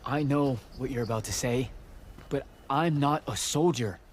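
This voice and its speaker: Man acting reluctant young recruit, mid-pitched voice. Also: a little slow, lightly acted. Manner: casual, hesitant. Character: reluctant young male recruit, casual and skeptical. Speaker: video game voice actor. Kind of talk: cinematic game dialogue.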